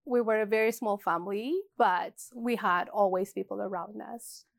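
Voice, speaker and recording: A woman's voice: medium pitch, moderate pace, gravelly, no echo, clean studio sound. A woman in her forties from Ethiopia, talking in an interview in a hesitant way, with many fillers and restarts. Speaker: interview guest, shop co-owner. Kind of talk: casual interview.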